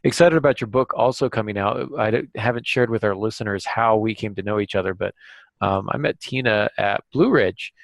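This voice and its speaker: A man's voice: fairly low pitch, fairly quick, creaky, no room sound. A man chatting casually as a podcast co-host, with a joking, self-deprecating manner.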